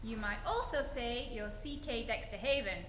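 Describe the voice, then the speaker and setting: An actress playing a practical, wisecracking magazine photographer with wry quips in a stage re-enactment of an old radio comedy. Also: female voice, on the high side, moderate pace, a bit bright, close to an everyday voice. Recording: echoey hall, faint noise.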